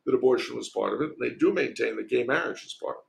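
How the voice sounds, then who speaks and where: Man, American accent, creaky mid-pitched voice, sardonic delivery, conversational commentary. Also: fast. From United States. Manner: sardonic, wry, emphatic. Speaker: podcast guest commentator. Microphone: remote internet call.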